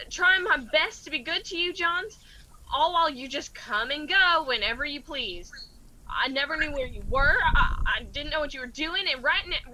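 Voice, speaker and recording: An actress as a high, piercing Appalachian young woman. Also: sharp tone, exaggerated voice, poor audio. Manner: emotional, plain rustic speech. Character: hurt, pleading, defiant young Appalachian mountain woman. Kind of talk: radio drama acting.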